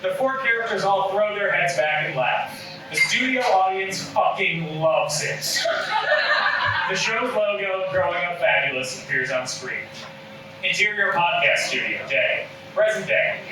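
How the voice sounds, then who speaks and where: A man's voice: high-pitched, a little slow, over music. A man reading scripted stage directions as comedic narration at a live table read. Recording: very echoey room, distant mic, noisy.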